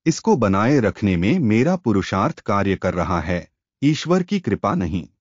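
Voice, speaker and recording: A man plays a proud, wrathful king in a storybook tale. He speaks imperiously and with command, his pitch moving dramatically. The sound is dry and a little dull. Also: sing-song, unhurried, dry recording. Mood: angry, imperious.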